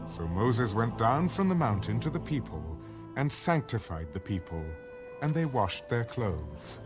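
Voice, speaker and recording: A man's voice: mid-range voice, sing-song, measured pace. A man narrating a dramatized scripture reading in a scripted storytelling style. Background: loud music.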